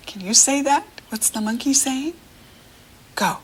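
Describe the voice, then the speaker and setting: An American woman teaching young children through a picture book, speaking to the child directly in simple, encouraging phrases with pauses. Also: sits high, husky, low-quality recording.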